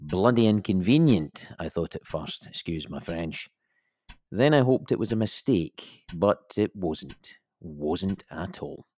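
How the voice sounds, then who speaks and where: An actor playing a middle-aged Scottish police inspector who gives measured, wry, matter-of-fact testimony in an audio drama. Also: male voice, low voice, animated, darkish tone, no room sound, full character voice. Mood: dry, wry. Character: dry, methodical male Scottish police inspector.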